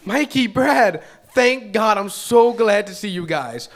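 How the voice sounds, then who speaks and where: Brief: performer as a captive teen boy, desperate and hurried, mid pitch. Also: lively intonation. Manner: desperate, rushed. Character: relieved, frightened teenage boy captive. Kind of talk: live table read.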